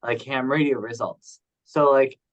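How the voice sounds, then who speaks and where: Man, medium pitch, informal meeting talk, conversational. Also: steady tone, a little slow, highs cut off. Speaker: meeting participant. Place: online conference call.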